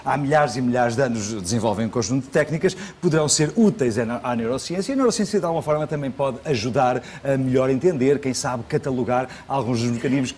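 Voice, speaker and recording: A man's voice: mid-range voice, quick pace, hardly any pauses, a little mellow, slight background noise. A middle-aged man with a Portuguese accent hosting a studio talk show, moving between Portuguese and English to translate his guests. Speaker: host. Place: TV studio set. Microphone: lapel.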